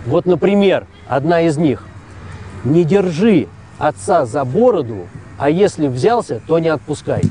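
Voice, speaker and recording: A man's voice: on the high side, expressive, a little slow, warm tone, slight background noise. A man presenting a travel documentary, speaking in a conversational, persuasive way as narrator and interviewer. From Russia. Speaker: TV presenter and reporter.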